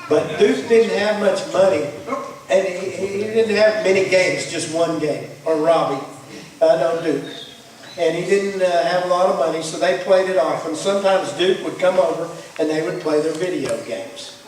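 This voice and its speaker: A man tells a story live as puppet show narrator. His voice is fairly high and carries through a very echoey hall from a distance. He rambles, bumbles and teases as he ad-libs. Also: moderate pace, a little mellow, slight background noise. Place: church hall.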